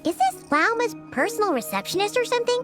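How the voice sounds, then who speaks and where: A woman voicing a small, childlike fairy companion in a video game, squeaky, excitable and chatty, full of exclamations. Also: fast talker, faint music behind, full character voice. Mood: puzzled. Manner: exclamatory, speaks in third person. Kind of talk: animated video game voice acting.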